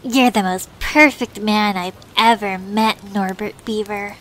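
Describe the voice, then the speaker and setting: A woman's voice: medium pitch, steady tone, a little slow, natural voice, poor audio. A woman voicing a smitten, swooning female cartoon beaver with a lovesick, sighing manner. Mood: lovestruck.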